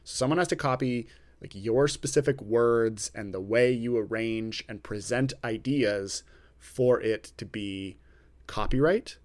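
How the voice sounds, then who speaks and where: A man co-hosting a podcast about a writing contest, talking slowly and deliberately, pausing rather than using fillers. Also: medium pitch, darkish tone. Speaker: podcast co-host. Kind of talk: casual podcast chat. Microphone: podcast microphone.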